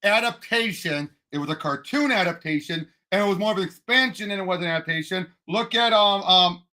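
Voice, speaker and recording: A man co-hosting a casual pop culture talk, opinionated and animated, sometimes searching for his words. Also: high voice, a little fast. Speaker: podcast co-host.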